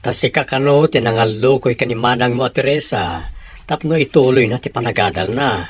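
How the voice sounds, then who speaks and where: A man's voice: mid-range voice, fairly quick, slightly rough, natural voice. An actor playing a caring middle-aged father in a radio drama, reassuring his daughter, with faint noise behind.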